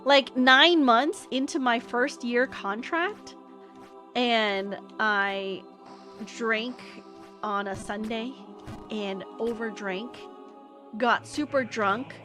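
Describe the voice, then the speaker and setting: A woman's voice: fairly high pitch, pushed and bright. A young American woman streamer telling a story in a casual, rambling way, with many fillers and restarts. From United States. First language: English.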